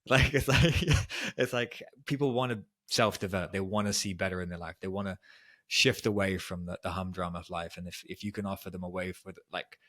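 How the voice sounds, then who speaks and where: A man hosting a podcast, asking loose, rambling questions in a relaxed way and using "like" a lot. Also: deep voice, fairly quick. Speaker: podcast host. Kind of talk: casual interview conversation.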